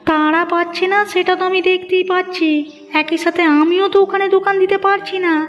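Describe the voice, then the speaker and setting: A woman's voice: very high-pitched, steady tone, fairly quick, faint music behind. A woman voicing a complaining cartoon village woman, plaintive and nagging. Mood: scolding. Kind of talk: Bengali moral-story cartoon dubbing.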